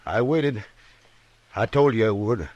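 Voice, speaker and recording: An actor playing a vengeful Old West outlaw in a radio drama, with an American country accent and a sullen, defiant delivery. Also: male voice, lowish voice, measured pace, darkish tone, dry recording, highs cut off, lightly acted. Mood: cold, defiant. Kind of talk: 1950s radio western acting.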